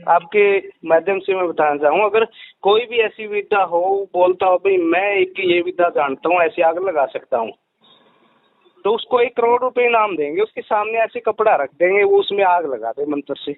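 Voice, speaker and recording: A man's voice: high voice, big pitch swings, normal speed, creaky, no echo. A man giving explanations in a phone interview as an investigator, emphatic and repeating his phrases. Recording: tinny, dry phone-call line.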